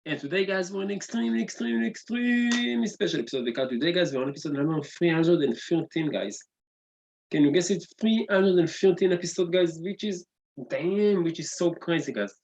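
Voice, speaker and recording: Fast-talking excitable man, native Hebrew speaker. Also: high voice, dull audio. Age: 30s. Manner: excitable, repeats words and says "guys" often. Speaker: podcast host. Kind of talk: enthusiastic solo video podcast intro.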